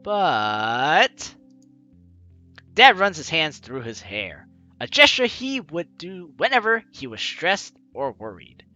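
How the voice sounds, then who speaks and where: Bright, animated man reading a game aloud. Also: a little high, slow pace, dry recording, muffled recording, faint music behind. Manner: dramatic reading, slipping into offhand comments. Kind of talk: expressive story narration with casual asides.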